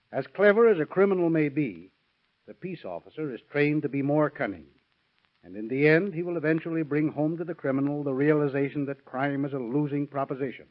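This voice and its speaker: A man giving a stiff, solemn scripted address as a sheriff, on a muffled 1930s radio recording. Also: a little high. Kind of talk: scripted formal speech.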